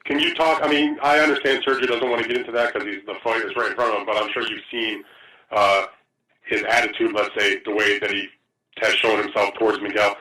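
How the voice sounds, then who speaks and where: A man with a forceful, lowish voice puts questions as a reporter on a conference call. He speaks quickly, and the line sounds roomy and far away. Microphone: phone on a conference call. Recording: roomy, distant teleconference phone line.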